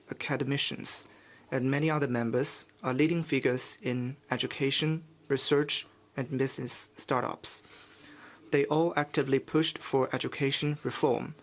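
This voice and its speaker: A man interpreting official statements live at a press conference, in measured, formal phrases with halting breaks. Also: mid-range voice.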